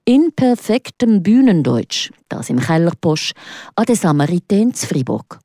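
Lively Swiss German reporter woman. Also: lowish voice, measured pace, no room sound, studio quality. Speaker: radio reporter. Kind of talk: scripted radio report.

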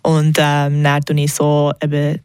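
A young woman, a native English speaker, chatting casually in an interview about her tarot readings, relaxed and full of small hesitations. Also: deep voice, unhurried, clear, resonant tone, dry recording, clean studio sound. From Switzerland. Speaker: tarot reader.

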